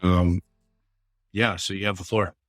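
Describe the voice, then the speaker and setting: Bright-voiced low male podcast host. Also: little pitch movement, dry recording, clean studio sound, faint music behind. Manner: apologetic, halting, with fillers. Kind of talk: casual interview hosting.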